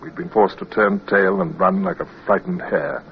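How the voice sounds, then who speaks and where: Young British naval officer, lowish voice, deferential yet persistent, old radio drama with muffled, hissy sound. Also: male voice, moderate pace. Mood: grave reflection. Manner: hesitant, respectful, formal. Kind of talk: radio drama acting with first-person narration.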